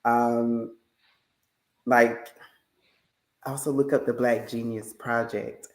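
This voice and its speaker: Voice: medium pitch, little pitch movement, slow pace. An effusive podcast host chatting casually with a guest and speaking straight to the listeners. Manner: effusive, addresses listeners directly. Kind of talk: casual interview chat. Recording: roomy, echoey sound.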